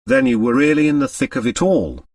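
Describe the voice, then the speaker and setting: A man's voice: mid-range voice, very animated, brisk, darkish tone, dry recording, studio quality, far from the mic. A man voicing a gushing, scheming society lady in an audiobook, gossipy and enthusiastic. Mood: envious, thrilled.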